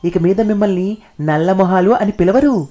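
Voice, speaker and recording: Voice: medium pitch, moderate pace, dark tone, slight background noise, pitched above the performer's own voice, natural voice. A narrator voicing a cheerful, simple, clownish young cowherd man with an innocent manner in a cartoon story. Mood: playful. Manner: playful, innocent.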